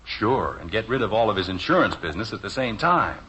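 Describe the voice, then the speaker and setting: A man's voice: fairly low pitch, very animated, brisk, bright, forceful tone, husky, heavily acted. An actor playing a harried, wry middle-aged American father in a 1950s radio sitcom. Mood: exasperated, wry. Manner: flustered, sardonic. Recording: dull, hissy old radio recording.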